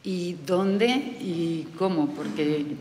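Middle-aged woman, very low voice, hesitant panel talk, long hall reverb, faint background noise. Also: drawn-out words, darkish tone. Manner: hesitant, many fillers and restarts. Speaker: aid worker panelist. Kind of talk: panel discussion. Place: conference hall, indoors. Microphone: desk microphone.

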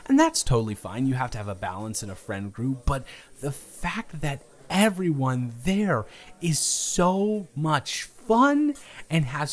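A man acting out a playful, flustered young guy on a first date in an improvised audio roleplay, teasing and stammering with mock indignation. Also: medium pitch. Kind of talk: improvised romantic audio roleplay, casual chat.

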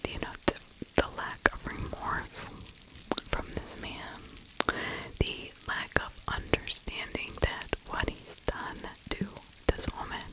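A middle-aged woman whispering ASMR true crime commentary close to the microphone, hushed and halting with pauses. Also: poor audio. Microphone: close microphone with fluffy windscreen.

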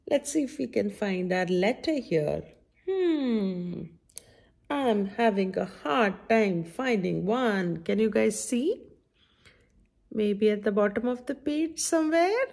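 A middle-aged woman hosts a children's story time with a very lively, swooping delivery. She takes her time, in a fairly high voice, and keeps turning to the young listeners with questions as she reads. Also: vocal fry. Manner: expressive, questioning, addressing children directly. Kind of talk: read-aloud picture book storytime for young children. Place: indoor room at home by a window.

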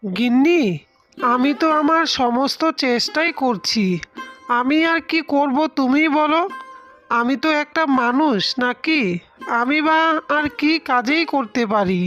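A woman's voice: high-pitched, measured pace, a bit bright, faint music behind. A woman voicing a poor, overworked village husband in a cartoon tale, plaintive and dutiful. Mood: weary, defensive. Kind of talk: Bengali cartoon fairy-tale voice acting.

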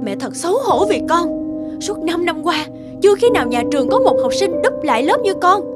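A woman's voice: extremely high pitch, very animated, a little fast. A woman voicing an anxious, doting cartoon mother obsessed with her son's grades, emphatic, swinging between scolding and gushing. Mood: stern, pushy. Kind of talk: animated moral tale dubbing. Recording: loud music behind the voice.

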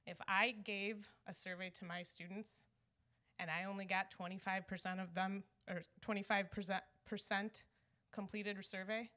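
A woman's voice: medium pitch, little pitch movement, normal speed, pushed and bright. A woman in her thirties giving public comment at a meeting, her voice nervous and a little shaky. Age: 30s. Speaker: resident and teacher speaking at public comment.

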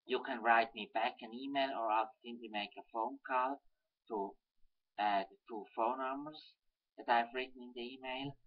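A man's voice: mid-range voice, steady tone, normal speed. Swiss German man reading a webcam letter. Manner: earnest, careful, slightly stilted reading. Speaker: webcam video message sender. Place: small room at home, indoors. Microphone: webcam built-in mic. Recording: dull lo-fi webcam audio.

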